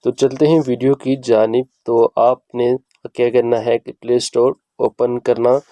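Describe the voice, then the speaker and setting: A man's voice: medium pitch, level tone, measured pace, mellow, no echo. A man hosting a how-to tutorial, speaking instructionally and repeating each step as he goes. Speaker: video host giving an app tutorial.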